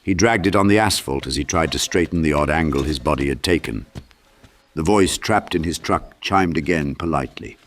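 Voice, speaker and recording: A man's voice: very deep voice, moderate pace. A man reading dramatic scripted horror narration as an audiobook narrator.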